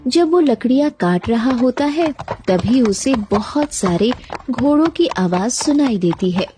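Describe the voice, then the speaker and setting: Slow, mellow woman storyteller. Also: on the high side, lively intonation, faint music behind. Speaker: narrator. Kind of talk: scripted children's story narration.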